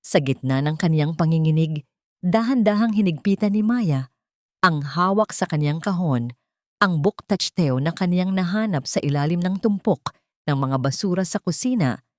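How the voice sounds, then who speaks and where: A woman's voice: fairly low pitch, expressive, moderate pace, no room sound, studio quality. A woman narrating a dramatic story from a script in a solemn, emotive manner.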